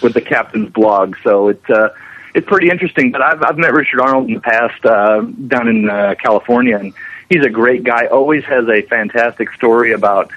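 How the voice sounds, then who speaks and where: A man's voice: mid-range voice, fairly quick, lo-fi sound. A man chatting casually as an interview guest. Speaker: interview guest, special effects animator. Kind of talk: casual chat.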